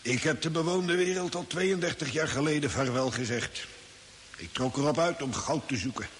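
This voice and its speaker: An actor playing an easygoing old desert hermit in a radio drama, folksy and hesitant in his unhurried talk. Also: fairly high pitch, a bit bright, natural voice. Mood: reminiscing. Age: older. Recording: hissy old radio recording with long reverb.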